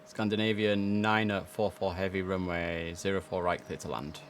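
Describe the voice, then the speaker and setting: A man with a fairly low voice doing livestream game commentary. He drifts between casual chat to himself and clipped controller instructions, with clear game ambience and noise behind him. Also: steady tone, normal speed. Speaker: streamer playing an air traffic controller. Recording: clear background noise under the voice.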